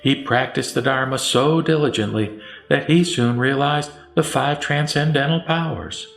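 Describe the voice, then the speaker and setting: A man's voice: mid-range voice, moderate pace, creaky. Roomy-voiced man solemnly reciting scripture. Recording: roomy echo, music underneath. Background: background music.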